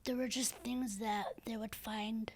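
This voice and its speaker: A woman in her thirties with a low, raspy, breathy voice, speaking softly and hesitantly as a podcast guest, with many fillers and restarts. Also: steady tone, measured pace, slight background noise. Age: 30s. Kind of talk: casual interview talk.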